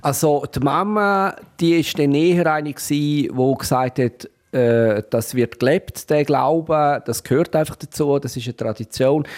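A man's voice: mid-range voice, a little mellow. A man with a Swiss German accent interviewing someone, summarizing her answers and asking follow-up questions with hesitant fillers. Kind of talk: conversational interview.

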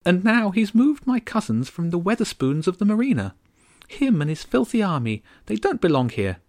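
A man voicing a tiny, haughty female starling queen with a high, prim voice and a sharp, clipped manner. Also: big pitch swings, normal speed, no room sound, studio quality. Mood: indignant.

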